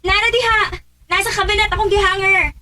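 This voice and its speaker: Very high-voiced, plaintive young wife. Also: female voice, fairly even intonation, fairly quick, noisy background. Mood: apologetic. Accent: Filipino Bisaya. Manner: plaintive, pleading. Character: young wife, apologetic, hurt and fed up. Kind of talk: Filipino radio drama acting.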